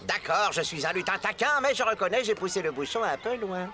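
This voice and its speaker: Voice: mid-range voice, lively intonation, a little fast, pushed and bright, a little hiss or hum. An actor voicing a mischievous, grumbling male imp in a French children's cartoon, with a mocking, theatrical delivery. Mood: sulky.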